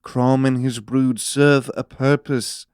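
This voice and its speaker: Imperious, cryptic empire minister male actor, solemn and unhurried, resonant clean tone, fantasy radio play. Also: medium pitch, little pitch movement, a little mellow, clean tone, no room sound, clean studio sound, natural voice. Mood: cold authority. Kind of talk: fantasy audio drama acting.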